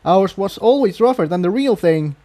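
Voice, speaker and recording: A man's voice: sits high, expressive, normal speed, dark tone, voice pushed up. A man voicing a fiery, indignant female pony resistance fighter in a fantasy storybook reading. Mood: outraged.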